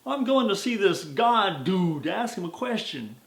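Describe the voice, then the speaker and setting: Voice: medium pitch, big pitch swings, a little slow, a bit bright. A storyteller voicing a young man seeking his luck, talking casually and bluntly with slang. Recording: roomy echo.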